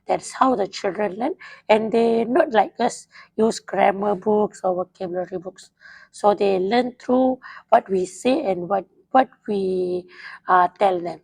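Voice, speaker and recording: A woman's voice: medium pitch, a little slow, creaky, a little mellow, husky, no room sound, rough recording. A woman whose first language is Mandarin, talking casually in simple, broken English with many fillers. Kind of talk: casual podcast chat.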